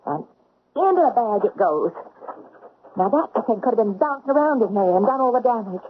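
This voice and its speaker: Brisk, high-voiced frontier widow postmistress. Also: female voice, sing-song, a little hiss or hum. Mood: brisk, commanding. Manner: commanding, folksy, exclamatory. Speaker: actress in a radio drama. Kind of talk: old-time radio drama acting. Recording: muffled old radio drama recording.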